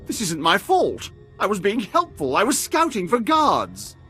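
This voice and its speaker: An actor voicing a pompous, cowardly, pampered Imperial official in a video game, with a fussy, self-absorbed and haughty manner. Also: male voice, sits high, wildly swooping pitch, measured pace, bright, forceful tone, husky, soft background music, full character voice. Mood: whiny, defensive. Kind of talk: comic video game acting.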